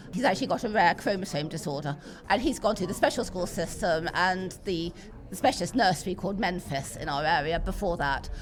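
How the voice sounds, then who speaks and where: A middle-aged British woman talking as a podcast interview guest, emphatic and conversational, repeating words for stress. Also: medium pitch. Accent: British English. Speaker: interview guest, pantomime enthusiast and parent. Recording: busy crowd chatter behind. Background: chatter of people at a venue.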